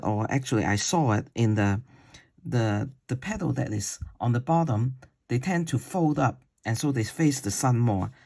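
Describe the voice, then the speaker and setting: A man with a deep voice teaching a watercolor paint-along. He talks slowly while he paints and often checks in with "okay?". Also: vocal fry, darkish tone. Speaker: watercolor instructor. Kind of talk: paint-along tutorial demo.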